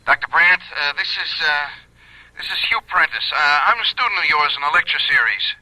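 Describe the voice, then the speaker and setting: A man's voice: on the high side, animated, a little fast, bright, forceful tone, no echo. A man playing a desperate young student in a radio drama, stammering urgently through a telephone filter. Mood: anxious, desperate. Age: young adult. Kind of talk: old-time radio drama acting. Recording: dry filtered telephone line.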